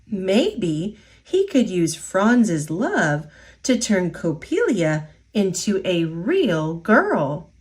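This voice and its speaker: A woman reading a children's picture book aloud in an expressive storytelling voice. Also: on the low side, very slow.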